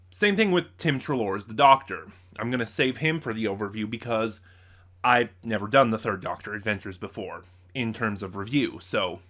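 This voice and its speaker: A man's voice: medium pitch, pushed and bright. A man hosting a casual, unscripted review, talking in a rambling way with many asides and self-corrections. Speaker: host of a review channel.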